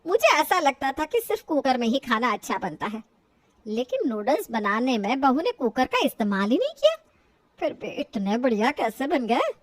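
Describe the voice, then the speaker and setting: A woman's voice: sits high, sing-song, moderate pace, no echo. A woman voicing a stubborn, elderly Indian mother-in-law who scolds and grumbles indignantly in a family storybook tale. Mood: pleasantly surprised. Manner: indignant, grumbling, talks to herself.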